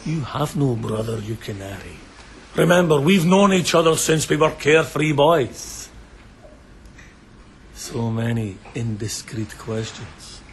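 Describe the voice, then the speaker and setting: An actor playing a sadistic bandit chieftain in a radio drama, theatrical and mocking, relishing every word with menace. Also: male voice, mid-range voice, expressive, unhurried, slight background noise, close to an everyday voice. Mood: sneering, taunting. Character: gloating, sadistic bandit chieftain taunting bound captives.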